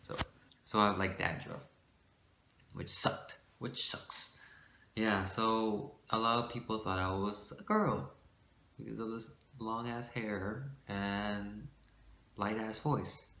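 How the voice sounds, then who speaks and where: A shy young man rambling casually about his light voice, with many fillers, sometimes pushing it deeper. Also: steady tone, takes it slowly, pushed and bright. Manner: shy, rambling, full of fillers and self-corrections; shifts between a light voice and a forced deeper one. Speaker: vlogger. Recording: echoey room.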